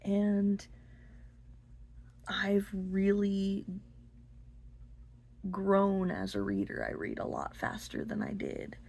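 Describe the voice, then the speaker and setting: A husky voice with plenty of fry, belonging to a woman who takes her time. She talks about books as a host, unscripted and halting, often pausing partway through a phrase. The pitch moves around a good deal even though the delivery is very slow. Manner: halting, gentle pauses mid-phrase. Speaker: book review host. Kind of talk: unscripted book talk to listeners.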